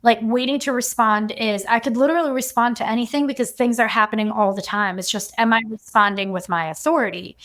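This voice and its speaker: A woman's voice: on the high side, fairly even intonation, a little fast, hardly any pauses, fairly bright tone. Chatty woman guest explaining her coaching. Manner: chatty, fills her speech with "like". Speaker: human design coach, guest. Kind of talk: casual podcast conversation.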